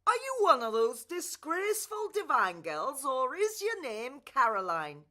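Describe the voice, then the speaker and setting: A woman's voice: sits high, huge pitch swings, a little slow, pushed and bright, heavily acted. A woman voicing a tipsy, muddled fairy godmother with a Northern English accent in a children's radio play. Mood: nosy, cheeky. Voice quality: slurred, hiccuping delivery. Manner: rambling, folksy, familiar.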